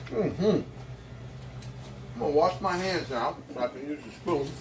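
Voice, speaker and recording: A man's voice: on the high side, lively intonation, unhurried, distant mic, background noise. A man in his sixties, a vlogger and motivational speaker, chatting casually to the viewer in a rambling way while he cooks in his kitchen. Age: 60s. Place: home kitchen.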